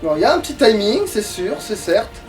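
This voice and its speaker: Let's player, male. High register, lively swings, sweary and informal. Off-mic, game music underneath. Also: brisk, far from the mic. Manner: informal, swearing, muttering to himself. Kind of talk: casual gaming commentary.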